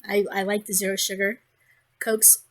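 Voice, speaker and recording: A woman with a hoarse, congested voice, chatting casually in a crafting vlog, rambling with many ums and restarts. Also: mid-range voice, level tone, normal speed. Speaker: crafting vlogger.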